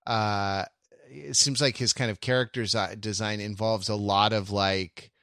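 Guy with a lowish voice chatting on a podcast, kinda rambly and halting, really dry sound. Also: fairly even intonation, fairly bright tone, no echo. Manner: halting, rambling, thinking aloud. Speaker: podcast host. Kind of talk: casual podcast conversation.

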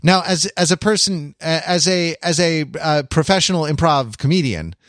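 A man co-hosting a podcast in casual discussion, with a halting, wry, self-interrupting way of talking. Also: fairly high pitch, expressive, a bit bright, no room sound, clean studio sound. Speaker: podcast co-host. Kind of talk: casual discussion, summarizing and quoting an article.

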